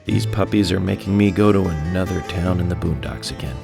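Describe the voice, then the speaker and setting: A weary grumbler in a mystery game. The voice is low and full of gravel, with loud music underneath. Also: male voice, a little fast. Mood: weary grumbling. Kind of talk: video game cutscene acting.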